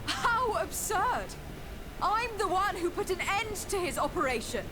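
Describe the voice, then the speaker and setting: High-voiced, assured noblewoman. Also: very animated, measured pace, noisy background, full character voice. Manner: formal, assured, persuasive. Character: calm, persuasive young noblewoman addressing an angry mob. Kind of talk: video game dialogue.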